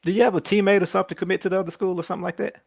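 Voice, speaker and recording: A man's voice: sits high, level tone, racing, creaky, husky, no echo, clean studio sound. A man interviewing a young football player, asking friendly questions and teasing him a little. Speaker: podcast interviewer. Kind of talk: casual sports interview.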